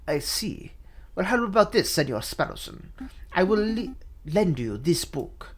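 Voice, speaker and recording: A man's voice: high voice, sing-song, measured pace, heavily acted. Man acting a courteous, melancholy Spanish-accented fox prince. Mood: courteous, reflective. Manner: formal, earnest.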